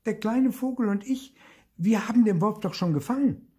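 An older man voicing a brave young boy in a storybook reading, calling out in a high, eager voice. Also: normal speed, slightly rough. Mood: confident. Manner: high excited calls.

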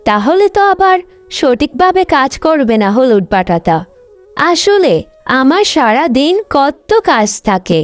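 একজন মহিলা কার্টুন গল্পে অহংকারী, স্বার্থপর তরুণী বড় বোনের চরিত্রে কণ্ঠ দিচ্ছেন, কথায় বকাঝকা আর অভিযোগের সুর।. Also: female voice, sits high, dry recording. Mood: self-satisfied. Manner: scolding, complaining. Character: vain, selfish, sharp-tongued young elder sister. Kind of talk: Bengali cartoon story voice acting.

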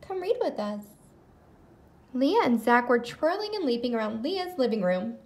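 High, animated woman reading a storybook aloud. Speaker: storybook reader, channel host. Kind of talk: animated children's read-aloud narration.

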